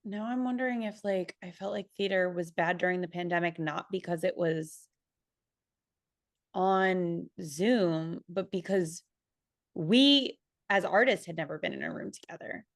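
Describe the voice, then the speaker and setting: A woman's voice: fairly low pitch, measured pace, no room sound. Musing woman, very dry sound. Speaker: panelist, theatre artist. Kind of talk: casual panel discussion.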